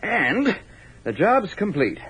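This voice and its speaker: An actor playing a jaunty, wisecracking adventurer with breezy banter in 1940s radio style. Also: male voice, high voice, huge pitch swings, slow pace, fairly bright tone, breathy edge, heavily acted. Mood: explaining. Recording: muffled old radio recording.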